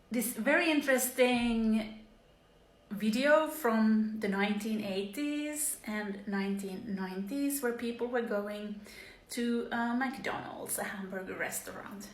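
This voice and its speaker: A woman's voice: fairly high pitch, fairly bright tone, echoey room, slight background noise. A woman in her forties from Finland, talking casually to the camera in a rambling monologue with many fillers and restarts and wry asides. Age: 40s.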